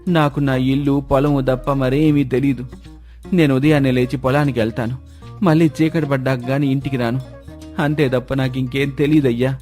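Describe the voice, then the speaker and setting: A man's voice: medium pitch, fairly even intonation, brisk, a little mellow, soft background music, close to an everyday voice. A man playing a humble, hardworking farmer in a moral story, protesting in a plaintive, insistent rustic manner. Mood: pleading. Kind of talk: acted moral storytelling dialogue.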